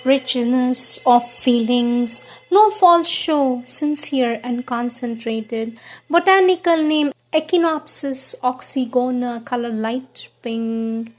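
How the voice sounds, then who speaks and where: A woman reading a devotional script in a measured, solemn manner. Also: on the high side, a little hiss or hum. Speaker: reader.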